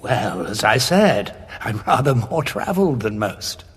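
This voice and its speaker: Weary renegade traveller, rasping, unhurried, wry, questioning, big hall reverb, audio drama. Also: male voice, lowish voice, big pitch swings, full character voice. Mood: wry, probing. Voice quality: very husky, raspy. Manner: measured, questioning.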